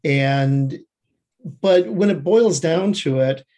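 A man's voice: a little high, takes it slowly, mellow. A man speaking as an interview guest, explaining his writing work in a conversational way. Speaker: guest screenwriter and fiction writer.

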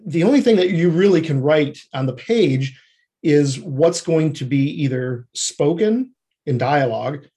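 A man speaking as an interview guest, explaining his craft in a halting, explanatory way. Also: fairly high pitch, dark tone, slightly rough. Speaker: guest screenwriter.

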